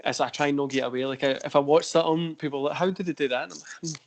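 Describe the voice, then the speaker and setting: Scottish guy hosting a podcast, kinda high voice, meandering questions. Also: steady tone, rapid-fire. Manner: meandering, many restarts. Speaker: podcast host. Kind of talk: casual podcast chat.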